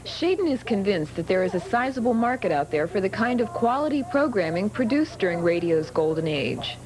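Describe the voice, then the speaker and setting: A woman's voice: mid-range voice, very animated, brisk, rough recording. A woman with an American accent reading scripted narration as a television magazine reporter.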